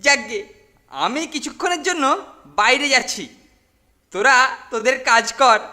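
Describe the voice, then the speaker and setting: A man's voice: very high voice, animated, normal speed, pushed and bright, heavily acted. A man voicing a greedy, sly boy bully who sneers and gloats. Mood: scheming.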